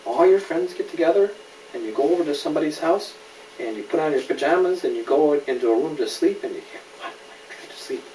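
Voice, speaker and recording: A man's voice: fairly high pitch, animated, fast talker, mellow. A father reading a story aloud to a child, patiently explaining and asking questions in casual asides. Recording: roomy, distant mic, faint background noise.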